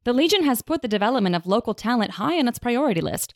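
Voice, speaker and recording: Argumentative lady pundit, highish voice, animated, dry studio sound, radio drama. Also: fast talker, no room sound. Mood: assertive, defensive. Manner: argumentative, articulate, lawyerly. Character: assertive female advocacy leader debating on news panel. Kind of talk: radio panel debate acting.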